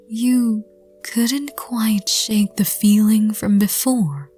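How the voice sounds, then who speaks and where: A woman reading scripted second-person story narration in a soft, gentle, hushed voice. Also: medium pitch. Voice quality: soft, breathy. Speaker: narrator and audio roleplay reader.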